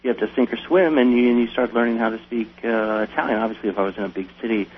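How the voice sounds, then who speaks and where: A man's voice: medium pitch, steady tone, fast talker. An American man talking casually as an interview guest, telling stories in a hesitant way with repeated phrases. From Wisconsin, United States. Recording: dull lo-fi radio sound, light hum.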